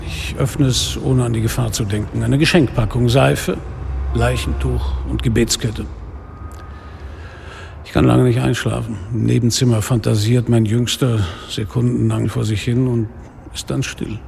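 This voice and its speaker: A man's voice: mid-range voice, fairly even intonation, moderate pace, creaky, darkish tone, a little hiss or hum. A man acting a weary, hard-boiled police inspector in a radio crime drama, narrating his case tersely and dryly. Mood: weary, tense. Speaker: lead actor and narrator.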